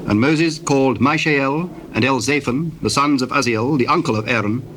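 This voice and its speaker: A man's voice: mid-range voice, fairly bright tone, slight background noise, rough recording. Solemn male scripture narrator. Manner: formal, solemn, deliberate.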